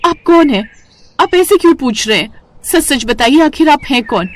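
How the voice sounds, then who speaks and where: A woman's voice: sits high, expressive, normal speed, voice pushed up. Lady doing a young snack seller in a cartoon story, polite and earnest, very up and down voice. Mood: startled, questioning. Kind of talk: cartoon moral story character voice.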